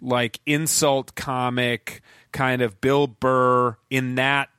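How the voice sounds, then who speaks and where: Man in his forties, bright forceful tone, dry close sound, rambling talk full of restarts. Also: mid-range voice, flat delivery, slow pace, clean tone, no room sound. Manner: rambling, many restarts and fillers. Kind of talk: casual conversation.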